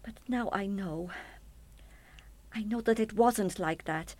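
A woman acting in a radio play as a bereaved wife, measured and insistent, controlled but obsessive about claiming a son. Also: mid-range voice, darkish tone. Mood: pained, bitter.

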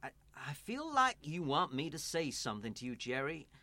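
A man's voice: fairly high pitch, sing-song, normal speed, bright, forceful tone, no room sound, exaggerated voice. An actor in a radio play playing an earnest, guarded male preacher with a polite, reassuring manner. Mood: awkward, polite. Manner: hesitant, polite, then earnest and exhorting.

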